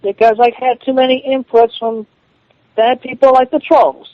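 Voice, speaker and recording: A man speaking defensively on a phone call, halting and repeating himself. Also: extremely high pitch, flat delivery, no echo. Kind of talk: phone conversation, answering challenges. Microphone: telephone call. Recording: dull lo-fi phone call recording.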